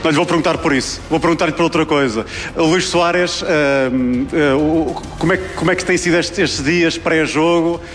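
A man's voice: fairly high pitch, moderate pace, a bit bright, faint music behind. A man, a sports TV reporter asking a question live.

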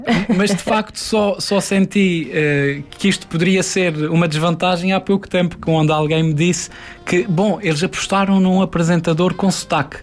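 A man's voice: high-pitched, steady tone, normal speed, faint music behind. A man in his twenties with a São Miguel Azorean accent, a TV presenter talking earnestly with many hesitations in a studio interview. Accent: São Miguel Azorean Portuguese. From Azores, Portugal. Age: 20s. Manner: earnest, reflective, with frequent hesitations. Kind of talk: casual interview chat.

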